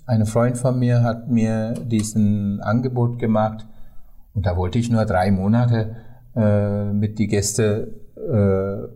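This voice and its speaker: A middle-aged or older man with a Sri Lankan accent speaks as a non-native, at a slow pace with frequent hesitations. His voice is soft-edged and mellow, in a conversational storytelling style. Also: fairly low pitch, fairly even intonation. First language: not German. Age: 50s or older. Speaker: tour guide, podcast guest.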